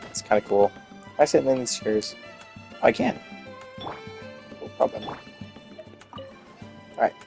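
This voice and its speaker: A man's voice: mid-range voice, very animated, unhurried, vocal fry, pauses often, warm tone, faint music behind. A man giving casual commentary as he streams a game playthrough.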